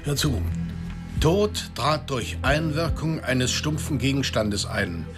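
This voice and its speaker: Radio drama casting: disgruntled, sarcastic male police detective, mid pitch, animated intonation. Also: slow pace, music in the background. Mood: dry, incredulous. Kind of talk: German radio crime drama acting.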